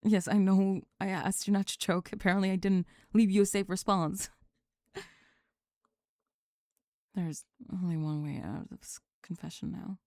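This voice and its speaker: A woman voicing a sulky young goth bully who is flustered and secretly lovestruck, halting and sighing in an ASMR roleplay. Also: mid-range voice, steady tone, darkish tone, no echo, studio quality, close to an everyday voice. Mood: embarrassed. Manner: halting, sighing, grudgingly tender and defensive. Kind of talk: ASMR roleplay drama, spoken to the listener.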